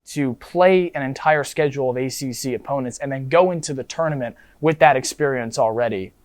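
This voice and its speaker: A man's voice: medium pitch, fairly quick, no room sound, clean studio sound. A man interviewing college athletes in a conversational tone. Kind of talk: interview questions.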